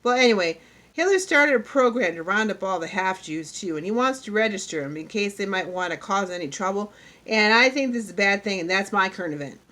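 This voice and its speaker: A woman voicing an earnest young schoolboy in an audiobook, reciting loudly and haltingly in a folksy way. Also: medium pitch, expressive, natural voice. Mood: earnest, eager.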